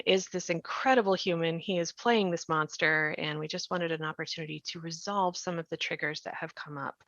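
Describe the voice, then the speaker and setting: A woman's voice: lowish voice, a bit bright, no echo, highs cut off. A woman hosting a podcast discussion, speaking earnestly and effusively as she introduces things. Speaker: host, writer and podcast voice actor. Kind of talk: spoken introduction to a behind-the-scenes podcast discussion.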